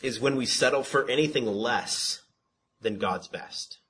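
A man teaching a Bible study in a conversational sermon style. He asks rhetorical questions, repeats himself, restarts words, and calls his own voice monotone. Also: medium pitch, unhurried, husky.

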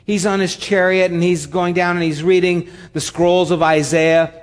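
A man's voice: high-pitched, flat delivery, moderate pace. Man preaching in a reverberant church hall. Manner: conversational preaching with asides. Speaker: pastor. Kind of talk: sermon.

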